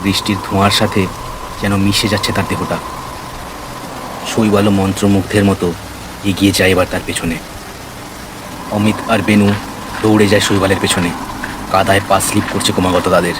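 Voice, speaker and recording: Low-voiced male horror narrator. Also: little pitch movement, moderate pace, pauses often. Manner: suspenseful, dramatic. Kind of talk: dramatic scripted horror storytelling. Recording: heavy rain and storm noise behind. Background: rain, storm effects.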